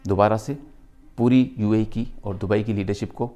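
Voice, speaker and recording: Middle-aged Pakistani male, lowish pitch, earnest motivational vlogger, emphatic deliberate phrasing, instrumental music underneath. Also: steady tone, mellow. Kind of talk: motivational talk to camera.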